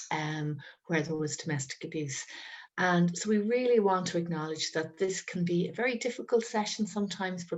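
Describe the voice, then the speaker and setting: Woman w a head cold, croaky, low-ish voice, gentle careful webinar talk over a call. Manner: gentle, careful, many ums. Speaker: trainer and guest speaker. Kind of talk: webinar presentation.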